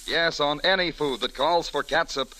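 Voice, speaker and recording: A man's voice: on the high side, normal speed, bright, forceful tone, slight background noise, poor audio. A man announcing in the style of a vintage 1940s radio commercial. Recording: old radio recording, faint music.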